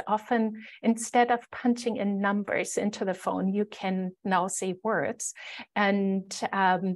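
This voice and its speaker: A woman with a German accent giving an explanatory conference presentation, measured, with hesitant pauses mid-sentence. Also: medium pitch.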